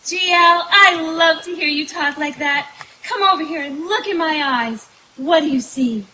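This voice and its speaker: A woman's voice: high voice, moderate pace, lightly acted. A woman playing a naive, gushing young girlfriend in an old-time radio comedy performed live. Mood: gushing, adoring. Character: sweet, dim-witted, adoring young girlfriend, a dumb blonde. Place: outdoor woodland stage. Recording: noisy live outdoor stage recording.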